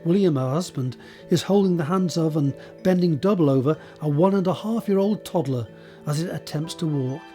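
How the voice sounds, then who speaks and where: Mellow, higher-pitched male narrator. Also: moderate pace, soft background music. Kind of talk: scripted narration of audio drama stage directions.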